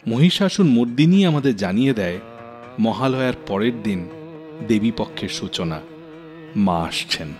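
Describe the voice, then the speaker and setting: A man narrates a radio program in a practiced storyteller's way, his pitch sitting mid-range but swinging widely for dramatic effect. His voice rings with a long reverb, and soft music plays faintly underneath. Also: normal speed, pauses often. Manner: expressive, dramatic storyteller pacing. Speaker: radio show host.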